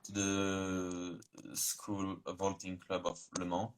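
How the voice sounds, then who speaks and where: A young man from France with a French accent, answering interview questions in English over a video call, halting and searching for words. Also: sits low, monotone, slight background noise. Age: young adult. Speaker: guest.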